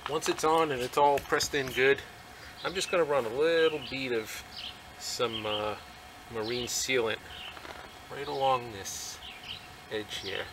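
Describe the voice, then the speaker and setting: A middle-aged man casually explaining a do-it-yourself boat repair, relaxed and plainspoken with lots of fillers, recorded outdoors in a rural driveway. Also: mid-range voice, a little slow, pauses often, noisy background. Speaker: DIY workshop vlogger. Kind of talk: casual how-to narration. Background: birds and outdoor ambience.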